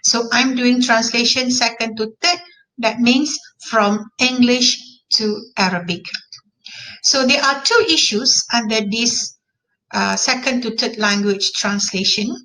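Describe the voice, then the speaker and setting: A woman's voice: a little high, measured pace, sharp tone, poor audio. A woman with a Malaysian accent, a native Malay speaker, giving an online class lecture and repeating key terms for emphasis.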